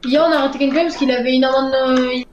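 A woman's voice: high voice, steady tone, quick pace, highs cut off, slight background noise. A young woman role-playing casually as a police officer over game voice chat. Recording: roomy, echoing voice chat.

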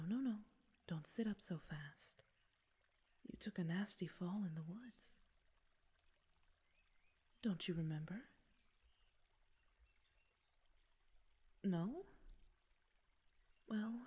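A woman playing a gentle, coaxing fey queen with secret possessiveness in an ASMR roleplay, speaking breathily and formally, often near a whisper. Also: on the low side, slightly rough, exaggerated voice. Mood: tender, soothing. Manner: slow, soothing, slightly formal speech. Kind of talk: ASMR roleplay audio drama.

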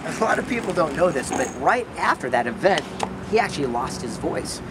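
A man's voice: on the high side, big pitch swings, brisk, reverberant, recorded from a distance, very noisy. A man in his forties hosting a documentary, narrating in an upbeat, chatty way and laughing often. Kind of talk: casual documentary vlog narration. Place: various indoor and outdoor locations.

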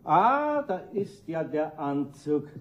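A man playing a grumbling but good-natured older craftsman with a Bavarian accent and a husky voice in a children's radio play. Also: a little high, big pitch swings, slow, highs cut off. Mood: amused, patient. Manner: gruffly indulgent, sighing.

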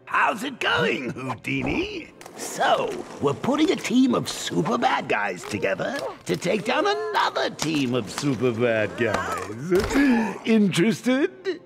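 A man's voice: high-pitched, huge pitch swings, slow pace, vocal fry, exaggerated voice. An actor playing a manic, gleeful male clown villain in a video game, with a theatrical, cackling delivery. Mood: mocking. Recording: game soundtrack with loud music.

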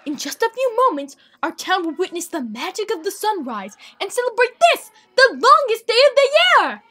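Woman as a bright, high-pitched pony mayor. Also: sing-song, moderate pace, heavily acted. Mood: grand, announcing. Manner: ceremonious public announcing. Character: proud, formal female mayor pony. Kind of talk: cartoon fandub acting.

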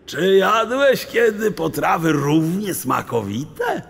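An actor playing a kindly, despairing old sage in an audio drama, with a theatrical, grandiloquent and emotional manner. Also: male voice, high voice, sing-song, slow pace, creaky, husky, heavily acted. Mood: merry, grand. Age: older. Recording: long reverb, faint background noise.

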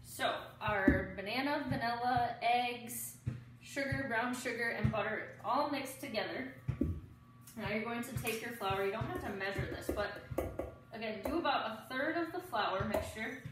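A woman's voice: on the high side, moderate pace. A woman in her thirties giving casual step-by-step cooking instructions as a home cook in her kitchen. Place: home kitchen, indoors, dish clatter behind. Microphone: camera a few steps away. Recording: roomy, distant camera mic with clear noise.

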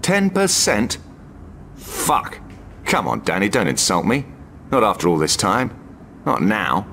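An actor playing a jaded, middle-aged secret agent in a video game, dry, blunt and weary. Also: male voice, lowish voice, wildly swooping pitch, slow, a bit bright, a little hiss or hum, exaggerated voice. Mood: indignant. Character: jaded, hard-bargaining veteran male secret agent. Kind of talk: video game cutscene acting.

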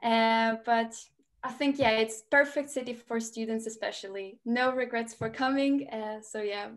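A young woman with a Lithuanian accent and a fairly high voice. She speaks informally, as a student sharing her experience on a video call. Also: steady tone, normal speed. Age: 20s. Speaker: student on a panel. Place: indoor room at home, video call.

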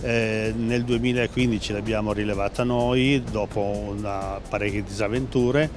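A man's voice: lowish voice, fairly even intonation, normal speed. A middle-aged man being interviewed at an exhibition stand, talking with many fillers and restarts. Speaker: company president interviewed. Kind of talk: trade fair interview. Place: busy exhibition hall stand. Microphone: handheld interviewer mic. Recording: background music under voice.

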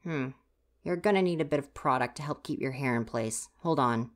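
A woman's voice: a bass voice, normal speed. A woman playing a grumpy, easily flustered university-age stagehand in an ASMR roleplay, huffy and exasperated, talking straight to the listener. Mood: grudgingly helpful. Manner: huffy, exasperated, sighing scolding.

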